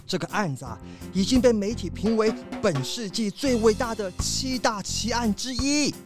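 Capybara butler, cartoonish radio play: obsequious and formal, high voice, swooping intonation, easily flustered. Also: male voice, lively intonation, normal speed, music in the background. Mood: fawning, cheerful. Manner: obsequious, formal, stammering when evasive. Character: fawning, nervous male capybara butler. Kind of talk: children's mystery audio drama.

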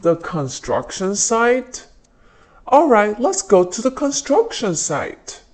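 A woman's voice: mid-range voice, big pitch swings, slow, a little mellow. Woman voicing a friendly storybook police car. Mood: eager helpful. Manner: earnest, eager to help. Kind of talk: storybook read-aloud. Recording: noticeable background noise.

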